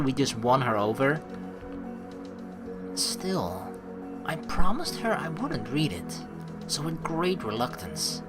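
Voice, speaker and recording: Low-voiced lady doing a lets play, reads the story out loud, swings all over, game music behind her. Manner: reactive, animated. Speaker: let's play host reading a visual novel aloud. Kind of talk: gameplay commentary mixed with expressive reading aloud.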